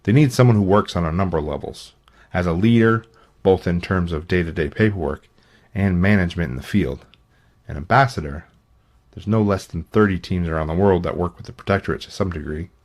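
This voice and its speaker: A man voicing a measured, knowledgeable internet forum poster in an audiobook, explaining things. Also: sits low, a little mellow. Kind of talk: audiobook character acting.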